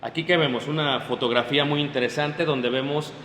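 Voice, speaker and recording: A man preaching a lively sermon to his congregation, often stopping to check they follow. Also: fairly high pitch, a little fast. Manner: animated, addresses the congregation directly with frequent checks. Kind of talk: sermon, Bible class. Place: church hall. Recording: echoey hall, faint room noise.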